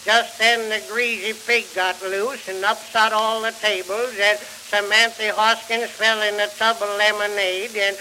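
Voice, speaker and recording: A man's voice: high voice, little pitch movement, measured pace, few pauses, bright, forceful tone, exaggerated voice. A man playing a cheerful, folksy country storyteller with a rural American accent in an early 1900s comic monologue, on a hissy, crackling phonograph recording. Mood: folksy, jovial. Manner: homespun, chuckles between anecdotes.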